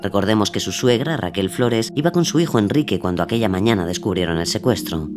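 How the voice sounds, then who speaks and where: A man with a lowish voice narrates a scripted true-crime drama. Faint music plays beneath his narration. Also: fast, a little mellow.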